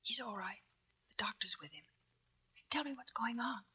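A woman's voice: on the high side, normal speed, pushed and bright, husky, full character voice. A woman acting in a 1940s radio drama as a stubborn, earnest young Navy nurse. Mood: anxious, insistent. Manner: earnest, resolute. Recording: muffled, noisy 1940s radio recording.

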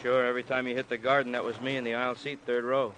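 An actor in an old-time radio drama playing a falsely friendly detective who needles with short, insinuating, repeated lines. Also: male voice, medium pitch, flat delivery, fast talker, fairly bright tone, close to an everyday voice. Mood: chummy needling. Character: needling, falsely friendly male police detective, boxing fan. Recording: faintly noisy vintage radio recording.

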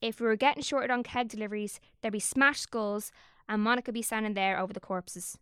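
Young woman telling the story, cheeky and sweary, smooth voice, dry close mic. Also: mid-range voice, fairly even intonation, fairly quick, a bit bright, dry recording. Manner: wry, conspiratorial, sweary. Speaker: narrator. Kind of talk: scripted audio drama narration.

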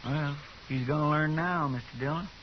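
Man acting a naive frontier sidekick, raised pitch, American, folksy, earnest, muffled old radio drama. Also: moderate pace, a little hiss or hum, natural voice. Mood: puzzled. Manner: folksy, questioning. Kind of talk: 1950s radio Western acting. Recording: muffled 1950s radio recording.

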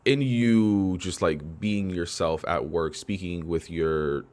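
A man's voice: low-pitched, takes it slowly, a little mellow. A man hosting a podcast, asking probing questions in a laid-back, slangy conversational way. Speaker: podcast host. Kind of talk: casual interview conversation.

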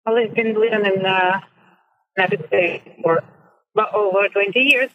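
A woman's voice: mid-range voice, fairly even intonation, dry recording. Dull-line female caller with Ukrainian accent. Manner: halting, emphatic, with non-native grammar. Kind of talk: impassioned argument on a call-in.

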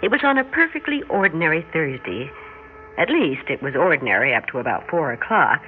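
A woman's voice: sits low, big pitch swings, a little fast, faint music behind. An American actress playing a wry suburban housewife and mother with second sight in an old-time radio drama, telling her tale conversationally. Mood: wry narration. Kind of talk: old-time radio drama acting and first-person narration. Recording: noisy, narrow-band vintage radio recording.

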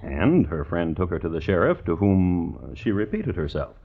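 An actor playing a wry, measured narrator in a scripted radio drama. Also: male voice, sits low, very animated, breathy edge, exaggerated voice. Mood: wry storytelling. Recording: narrow, tinny vintage radio recording. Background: prominent dramatic music.

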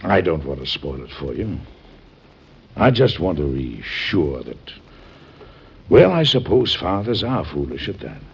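A man's voice: low voice, very animated, measured pace, gravelly, long pauses, husky, full character voice. An actor playing a fussy, overprotective father who is an ailing President, stern and fretful, in old-time radio drama style. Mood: softened, hesitant. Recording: dull lo-fi old radio recording.

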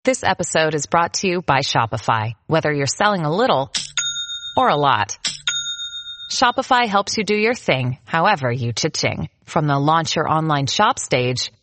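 A woman's voice: deep voice, a bit bright, no echo. A woman reading a scripted sponsor ad in an upbeat, salesy way. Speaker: ad reader. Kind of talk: scripted ad read.

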